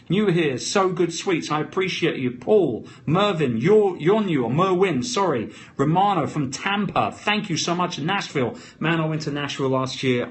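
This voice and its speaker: A man with a London British accent live-streaming off the cuff, talking emphatically in short, punchy, motivational bursts. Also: on the high side. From London, England. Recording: noisy live phone stream.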